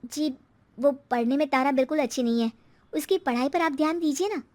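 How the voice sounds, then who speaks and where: A woman's voice: high voice, a little fast, a little mellow, natural voice. A woman voicing a meek, weary middle-aged housewife and mother in a moral story, hesitant and submissive. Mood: gentle pleading.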